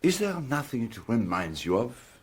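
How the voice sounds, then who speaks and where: An actor playing a shrewd, courteous detective in a radio drama, speaking in a measured, precise, formal way and quietly needling. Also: male voice, mid-range voice, sing-song, breathy edge. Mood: musing, pointed.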